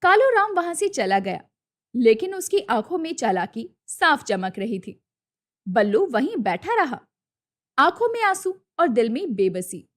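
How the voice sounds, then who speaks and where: धीमी, भावपूर्ण महिला कथावाचक. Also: female voice, high-pitched, sing-song, measured pace, a bit bright. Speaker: narrator. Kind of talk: dramatic scripted storytelling.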